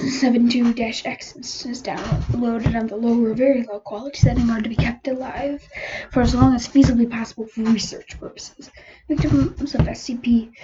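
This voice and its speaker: A woman reading a scripted document aloud as narrator, haltingly, often stumbling over numbers. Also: a little high. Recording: muffled lo-fi home recording, clear noise.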